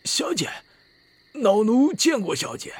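A woman playing a husky-voiced, deferential old household servant in a Chinese period audio drama. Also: a little high, big pitch swings, slow pace, full character voice.

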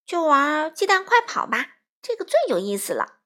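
A woman's voice: high-pitched, wildly swooping pitch, normal speed, a bit bright, voice pushed up, full character voice. A woman voicing a self-assured long-eared rabbit in a children's story, eager and insistent.

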